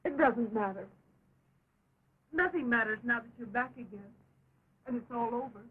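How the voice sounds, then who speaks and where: A fretful, devoted young sweetheart trying to stay calm. Her highish voice is very husky and breathy, earnest in an early talkie melodrama manner, on a tinny, narrow film soundtrack. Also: moderate pace. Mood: anxious relief. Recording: tinny, band-limited 1920s film soundtrack.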